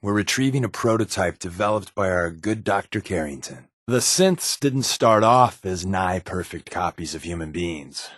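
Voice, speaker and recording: Game companion, wry secret agent. Lower-pitched, conversational, sardonic wisecracker who confides easily; dry studio sound. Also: male voice, expressive, moderate pace, hardly any pauses, a bit bright, no room sound. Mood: explaining, thoughtful. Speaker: video game companion character. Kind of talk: conversational video game voice acting.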